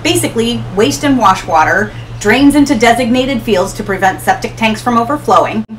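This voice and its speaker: A middle-aged woman hosting an ocean education channel, talking to camera in a small room with an upbeat, expressive manner. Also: a little high, moderate pace, a little hiss or hum, rough recording. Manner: upbeat, expressive, gestures while explaining. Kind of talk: scripted educational talk to camera. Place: small room in front of a hanging tapestry.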